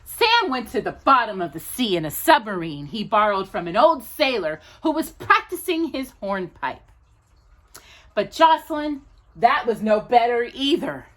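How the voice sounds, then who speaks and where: A woman with a very bright voice reads a picture book aloud as a storytime host. Her delivery is unhurried and swings widely in pitch. She stretches key words playfully and turns aside to chat with her listener. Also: very animated. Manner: addresses a companion directly while reading. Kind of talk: animated children's story reading with chatty asides.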